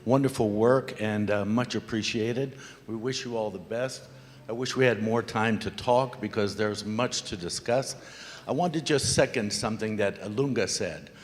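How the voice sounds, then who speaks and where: A middle-aged man from the Congo speaks earnestly from the audience, his voice on the lower side. He is reflective and unhurried in his remarks. The sound carries the long reverb of a large hall. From Democratic Republic of the Congo. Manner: earnest, reflective, quotes proverbs. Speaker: audience member asking from the floor. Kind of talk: public remarks at a panel event.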